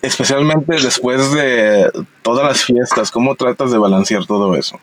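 A man's voice: medium pitch, moderate pace, poor audio. A man hosting a casual interview, speaking hesitantly and self-conscious about his Spanish. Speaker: podcast host.

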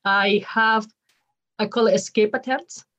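A woman's voice: medium pitch, steady tone, measured pace, sharp tone, muffled recording. A woman in her forties from Ecuador, a nonprofit leader chatting as a podcast guest, upbeat with frequent ums and restarts. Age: 40s. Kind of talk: podcast interview.

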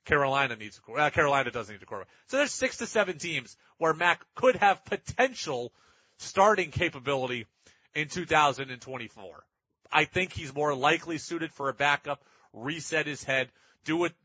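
A man's voice: on the high side, sharp tone, husky, no echo, dull audio. A man hosting sports talk radio, giving opinionated commentary in an emphatic way and repeating points for effect.

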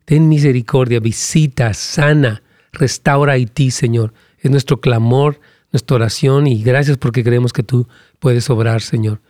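A male pastor preaching and praying during a live broadcast. He prays in fervent, rhythmic lines with emphatic repetitions, and his pitch climbs as he argues a point. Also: a little slow, dry recording, studio quality. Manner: animated, rising pitch when arguing a point. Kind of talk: live-streamed sermon and prayer with Q&A.

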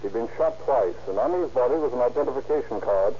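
An actor playing a calm, businesslike police investigator, asking clipped, methodical questions in a 1930s radio crime drama. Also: male voice, medium pitch, fast talker, lightly acted. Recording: dull, noisy old radio recording.

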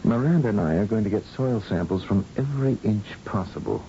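A slightly noisy old radio drama recording holds a determined scientist from Earth. His voice is low and mellow, his delivery grave and earnest. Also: expressive, a little fast, dull audio.

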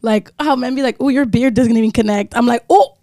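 A woman in her twenties chatting casually, loud and teasing, with dramatic reactions. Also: a little high, brisk, no echo, studio quality. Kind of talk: casual chat.

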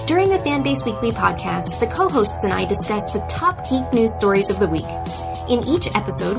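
A woman podcast co-host reading a scripted promotional spot in a presentational style. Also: medium pitch.

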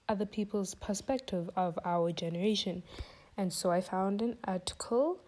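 Young woman podcast host, chatty, asks herself question after question, mid pitch. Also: darkish tone. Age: young adult. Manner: thinks aloud, many rhetorical questions. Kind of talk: casual podcast monologue.

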